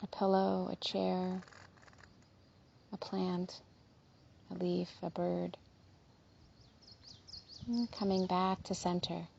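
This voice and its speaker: A woman leading a guided body scan meditation in a gentle, soothing, unhurried manner, with a soft, breathy, slightly husky voice. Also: lowish voice, level tone, lo-fi sound, slight background noise.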